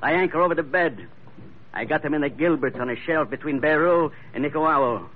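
An actor playing a ruthless, scheming pearl poacher in 1940s radio acting style, talking low and menacing. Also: male voice, brisk, natural voice. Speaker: pearler Labrado. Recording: dull old radio broadcast, faint hiss.

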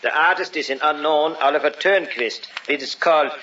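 Formal, higher-voiced art dealer. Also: male voice, normal speed, sharp tone. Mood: formal, showy. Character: polite, evasive male art dealer showing paintings. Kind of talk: old radio drama acting. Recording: dull, noisy old radio recording.

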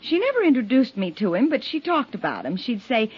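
An actress playing a flustered, defensive neighbor, hesitant and protesting under questioning in a 1950s radio drama. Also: female voice, a little high, wildly swooping pitch, a little fast, husky, full character voice. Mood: flustered, evasive. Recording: dull, lo-fi 1950s radio recording.

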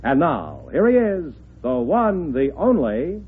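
Animated male vintage radio announcer. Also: on the high side, slow, narrow, tinny audio, heavy background noise. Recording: thin old radio broadcast recording.

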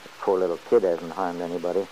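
A man's voice: low-pitched, little pitch movement, fast talker, mellow, highs cut off, natural voice. An actor playing a stubborn, good-hearted, folksy man who grumbles plainly in an old-time radio serial. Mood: skeptical. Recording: hissy old radio recording.

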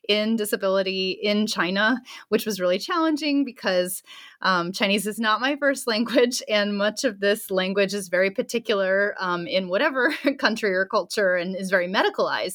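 A woman in her thirties, an anthropologist speaking as a guest in an interview, thoughtful and warm, with frequent soft laughs and fillers. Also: medium pitch. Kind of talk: interview answers.

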